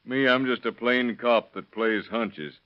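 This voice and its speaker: An actor playing a dogged, no-nonsense police detective, blunt and dry, in 1930s radio crime drama style. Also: male voice, medium pitch, a little slow, smooth, natural voice. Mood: determined. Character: dogged, no-nonsense plainclothes cop who plays hunches. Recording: dull lo-fi vintage radio recording.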